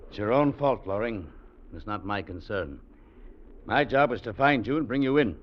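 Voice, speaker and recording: An actor playing a stern Mountie sergeant, very low voice. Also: male voice, expressive, moderate pace, close to an everyday voice. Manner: curt, stern and unyielding. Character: hard, dutiful male Mountie sergeant. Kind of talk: 1940s radio drama acting. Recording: dull old radio recording, faint hiss.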